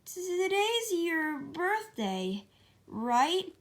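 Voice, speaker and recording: A woman voicing a cute, shy young female hedgehog in an amateur storybook read-aloud, girlish, excitable and easily flustered. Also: very high-pitched, drawn-out words, voice pushed up, full character voice. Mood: nervous, hopeful.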